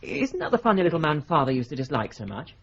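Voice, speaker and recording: A breezy, husky young partner. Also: male voice, on the high side, very animated, fast talker, fairly bright tone, full character voice. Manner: breezy, offhand, slightly flustered. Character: breezy young firm partner, casual and a bit careless. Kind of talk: radio drama acting. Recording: dull lo-fi radio recording.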